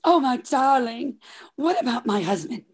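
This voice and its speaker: A woman's voice: on the high side, animated, a little slow, husky, exaggerated voice. A woman playing a melodramatic, swooning married lover in a radio romance parody, recorded over a home video call. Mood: amorous. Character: breathless, melodramatic married lover in secret affair.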